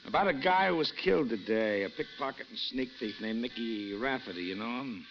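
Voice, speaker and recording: A man's voice: medium pitch, expressive, fairly quick, close to an everyday voice. A man plays a sardonic homicide detective in a vintage radio thriller. He is clipped and menacing, with a mid-range voice. The recording is muffled and aged. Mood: flat, official. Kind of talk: 1940s-50s radio noir acting. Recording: dull vintage radio recording, faint music.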